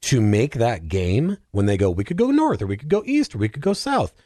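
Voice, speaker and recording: A man's voice: mid-range voice, big pitch swings, brisk, warm tone, no room sound, studio quality. A man in a casual podcast discussion, explaining things in an earnest, emphatic way as a guest.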